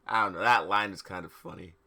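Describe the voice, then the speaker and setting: A man's voice: sits low, fairly even intonation, quick pace, pushed and bright. A man hosting a livestream dramatic reading, mocking the text in incredulous, exaggerated asides. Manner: theatrical, incredulous, exaggerated emphasis. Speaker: livestream host reading fan fiction aloud.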